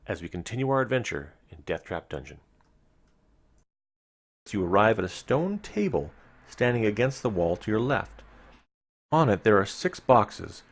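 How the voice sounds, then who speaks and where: A man with a fairly low voice and a heavy creak reads a fantasy gamebook to his stream. He moves between storyteller narration and offhand remarks to viewers, with lively rises and drops in pitch. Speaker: live-streamer reading a gamebook aloud. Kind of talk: live gamebook read-aloud with casual asides to viewers.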